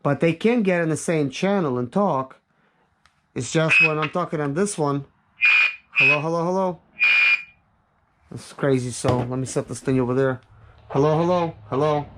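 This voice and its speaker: Casual man reviewing gadgets, noisy recording. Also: fairly high pitch. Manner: rambling, thinking aloud. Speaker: product reviewer. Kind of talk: casual hands-on gadget test commentary.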